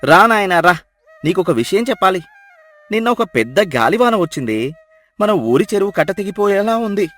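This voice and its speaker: A man's voice: high-pitched, big pitch swings, brisk, a bit bright, faint music behind, voice pushed up. A man voicing a proud, emphatic older village headman in a Telugu moral story. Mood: hearty. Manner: emphatic, blustering, commanding. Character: boastful loud old village headman, gullible, later humbled.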